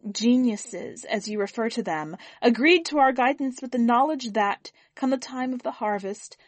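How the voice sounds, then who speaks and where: A woman's voice: fairly high pitch, dry recording, pitched above the performer's own voice. Woman voicing Astald, a formal owl-like alien. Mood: firm. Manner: formal, measured, unflinching. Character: towering owl-like alien envoy, calm and formal.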